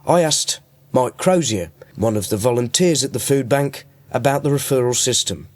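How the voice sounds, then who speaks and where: A man's voice: mid-range voice, normal speed. Male radio reporter, scripted delivery. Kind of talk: scripted narration and interview questions.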